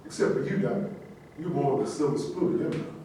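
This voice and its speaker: A man's voice: medium pitch, brisk, mellow, close to an everyday voice. Man acting a frank skeptic, distant and echoey. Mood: frank, skeptical. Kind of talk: staged play reading. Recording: very echoey room, distant mic, faint noise.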